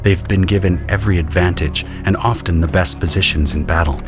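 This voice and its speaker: A man with a deep voice narrates a scripted audio drama in the first person. Music plays clearly beneath his narration. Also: fairly even intonation, brisk.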